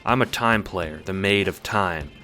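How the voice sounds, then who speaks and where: A man voicing a calm, matter-of-fact dead troll girl who now lives in a robot body, in an audio drama. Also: sits low, unhurried, faint music behind.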